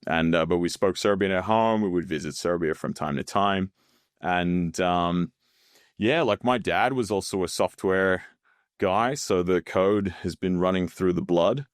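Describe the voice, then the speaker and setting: An Australian man in his thirties, a podcast guest telling his story in a relaxed, chatty way with many fillers and easy laughs. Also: low voice, a little slow, smooth, no room sound, clean studio sound. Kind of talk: casual interview chat.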